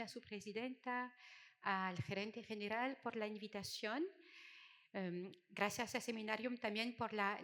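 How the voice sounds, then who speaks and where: High-voiced woman with a French accent. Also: a bit bright. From France. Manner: hesitant, many fillers and restarts. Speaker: conference speaker. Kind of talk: conference talk. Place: conference hall. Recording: hall reverb.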